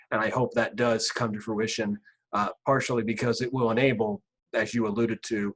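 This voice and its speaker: Male interview host, low husky voice, formal measured delivery. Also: a bit bright, poor audio. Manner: measured, formal wording with fillers. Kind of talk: interview questions and commentary.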